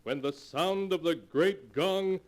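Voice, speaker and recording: A man's voice: fairly high pitch, slow. A man narrating as a 1940s radio horror announcer, solemn and theatrical, reading a script on a noisy vintage recording.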